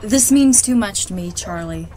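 A woman's voice: medium pitch, lively intonation, moderate pace, warm tone, lightly acted. An actress playing a defiant, disgraced air officer in an audio drama, solemn and formal in her speech. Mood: earnest, solemn. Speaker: voice actor. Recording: echoey space with faint noise.

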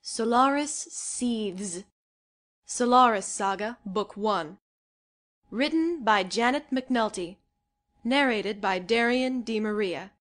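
A woman's voice: on the high side, slow pace, a bit bright. A woman giving a scripted audiobook title announcement.

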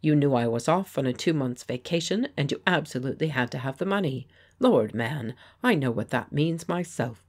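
A woman reading a genial, self-assured gentleman. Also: a bass voice, lively intonation, normal speed, exaggerated voice. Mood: pleasant. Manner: pleasant, firm, persuasive. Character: genial, confident gentleman painter. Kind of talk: audiobook character voice.

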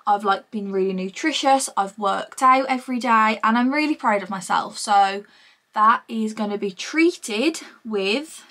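A woman's voice: mid-range voice, a little slow, bright, forceful tone. A woman in her twenties with a British accent, chatting casually to camera in a gushing, informal vlog style at home. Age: 20s. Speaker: vlogger. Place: small room at home, indoors.

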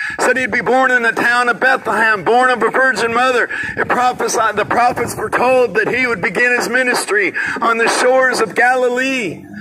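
High-voiced older man preaching outdoors. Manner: loud, proclaiming to passersby. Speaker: street preacher. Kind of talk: open-air street preaching. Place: outdoors on a busy city street corner. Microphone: distant camera across the street. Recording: traffic noise from far off. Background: passing cars and trucks.

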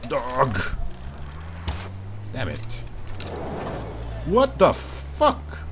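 A man's voice: medium pitch, huge pitch swings, very slow, noisy background. A young man from Malaysia doing casual let's-play commentary, joking, swearing and laughing at the game. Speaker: gaming video host. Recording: music clearly under the voice. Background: game music and vehicle sounds.

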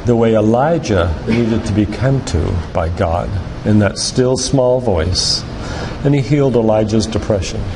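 An older man giving a sermon-like lecture in a church, speaking in an earnest, deliberate, emphatic way with repetition. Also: mid-range voice. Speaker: doctor and guest church speaker. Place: church, live talk. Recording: echoey hall with loud background noise.

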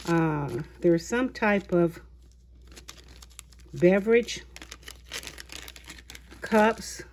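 A woman hosting a haul video of her craft purchases, talking in an unhurried way with many small pauses and fillers. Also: lowish voice, lively intonation, darkish tone, a little hiss or hum. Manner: slow, unhurried, halting with fillers. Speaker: craft channel host. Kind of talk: show-and-tell haul video. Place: indoors at a tabletop, voice-over of hands.

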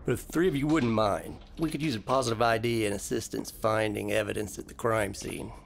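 An actor playing a steady, fatherly police captain in an audio drama, businesslike and plain-spoken with a gravelly voice. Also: lowish voice, fairly quick, slight background noise. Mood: brisk, official.

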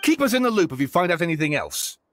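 A man's voice: a little high, very animated, fast, a bit bright, soft background music. A man voicing a stubborn, theatrical novelist in a game, with a dramatic, pompous delivery. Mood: defensive. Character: theatrical, stubborn male novelist, gray-haired.

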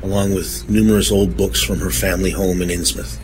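A man playing a troubled, genteel suspect in an audio horror drama, halting, formal and earnest. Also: low-pitched, little pitch movement, darkish tone, faint music behind, natural voice, low-quality recording. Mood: haunted, reserved. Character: troubled, genteel man confessing to police, desperate.

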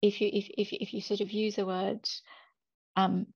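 A woman's voice: medium pitch, little pitch movement, moderate pace, gravelly, darkish tone, breathy edge, no room sound. A middle-aged woman, a translator, answering a panel question on a video call from her home study, thoughtful and hesitant. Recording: muffled video call audio.

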